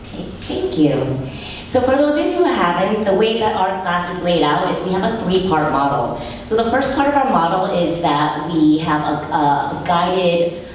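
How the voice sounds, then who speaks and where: A hoarse woman, a yoga and mindfulness teacher, calmly explaining her classes to a live audience. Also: on the low side, moderate pace, slight background noise. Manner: steady, explanatory, asks the audience questions. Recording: roomy hall mic from a distance.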